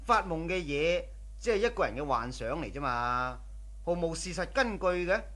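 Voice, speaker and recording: Calm husband, radio drama, soothing, doting, gently dismissive of fears. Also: male voice, on the high side, normal speed. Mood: reassuring. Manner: reassuring, indulgent.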